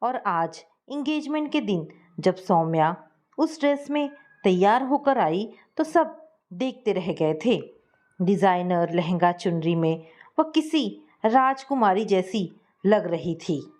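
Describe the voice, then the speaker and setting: A woman narrating a scripted family story in a steady, measured reading style. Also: mid-range voice.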